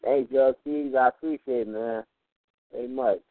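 A man's voice: mid-range voice, normal speed, dry recording. A man calling in to a radio show, giving brief, grateful replies. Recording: narrow phone line.

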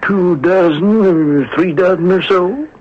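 A fawning, shady dealer in a radio play, hesitant and eager to defer. His voice is high for a man and husky, caught on a dull old radio recording. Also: takes it slowly, exaggerated voice. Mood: evasive, polite. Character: obsequious, shady male seller of dubious paintings. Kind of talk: radio drama acting.

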